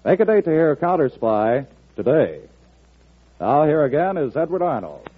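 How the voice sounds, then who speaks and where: Tinny vintage radio announcer, husky man. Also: on the high side, sing-song, moderate pace. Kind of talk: 1940s radio promotional announcing. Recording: tinny old radio broadcast.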